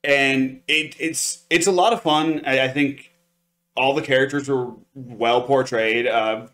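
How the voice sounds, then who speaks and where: A man's voice: medium pitch, steady tone, measured pace, fairly bright tone. A man co-hosting a review show, chatting casually and enthusiastically in a hedging, conversational way. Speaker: podcast co-host reviewing anime.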